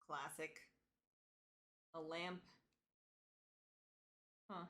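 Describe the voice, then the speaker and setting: A woman's voice: sits low, steady tone, very slow. A woman live-streaming a game, chatting casually and reacting as she reads the dialogue aloud. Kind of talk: live let's play commentary, reading dialogue aloud. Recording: music playing behind the voice. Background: loud game music.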